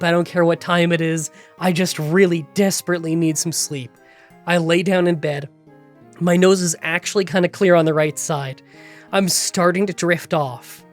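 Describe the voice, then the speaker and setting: Nasal gaming YouTuber with a cold, stuffy and chatty, faint music under the voiceover. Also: medium pitch. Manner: chatty, rambling storytelling. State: congested from a cold. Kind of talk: game challenge voiceover recap. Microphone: close voiceover mic.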